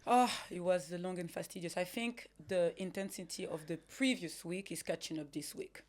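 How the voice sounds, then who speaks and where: A woman's voice: fairly low pitch, fairly even intonation, moderate pace, a little mellow. A woman in her thirties co-hosting a casual podcast chat, making dry, teasing remarks. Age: 30s. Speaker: podcast co-host.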